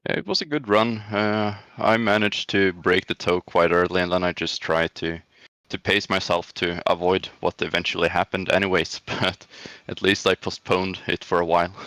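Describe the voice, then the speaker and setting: A Swedish man, speaking English as a second language with a Swedish accent, gives post-race interview answers. His voice is deep and forward, with little melodic movement, and sounds dry and close. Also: steady tone, normal speed, bright, forceful tone, no room sound. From Sweden. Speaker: racing driver being interviewed.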